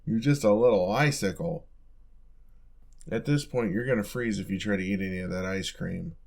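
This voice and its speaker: A man's voice: lowish voice, moderate pace, gravelly, darkish tone. A young man playing a caring, teasing college roommate in an intimate, casual audio roleplay. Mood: gentle, caring.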